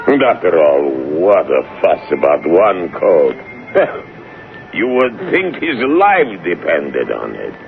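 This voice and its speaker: An actor playing a gruff, stingy, brandy-loving Russian tailor who grumbles and haggles bluntly in a radio play. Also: male voice, low voice, wildly swooping pitch, takes it slowly, narrow, tinny audio, heavily acted. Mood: amused, musing. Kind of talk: 1970s radio drama acting. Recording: band-limited old radio recording, music.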